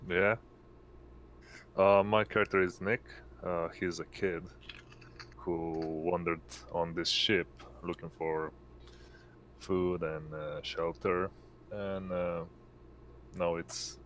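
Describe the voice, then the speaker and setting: A man's voice: deep voice, flat delivery, slow pace, pauses often, fairly bright tone. A man casually describing things as a player in an online tabletop roleplaying game. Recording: faint noise on home voice-chat mic.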